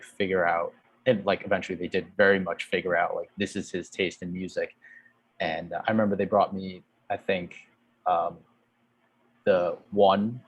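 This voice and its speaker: Low-voiced rambling man saying "like" a lot. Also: breathy edge, low-quality recording. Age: 30s. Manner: rambling, heavy use of "like". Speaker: guest. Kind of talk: casual chat.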